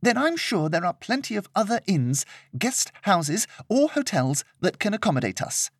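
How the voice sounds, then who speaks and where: A man voicing a haughty, spoiled young woman who whines and demands, pitched well above his own voice in a storybook reading. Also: sing-song, normal speed, slightly rough, no room sound, studio quality, full character voice. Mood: indignant. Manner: whiny, indignant.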